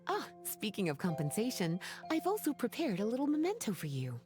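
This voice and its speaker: A playful, coquettish woman librarian for a video game, speaking in a languid way with lively rises and falls. Her voice sits at a middling pitch for a woman, over clear background music. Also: fairly quick. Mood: pleased. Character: teasing, unhurried adult woman librarian and researcher. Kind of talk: video game character acting.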